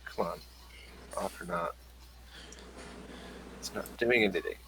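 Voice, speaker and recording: A man narrating a sci-fi tabletop session as game master, with a bright, forward tone set fairly low. He takes his time and describes each scene slowly while he works it out. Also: a little hiss or hum, rough recording.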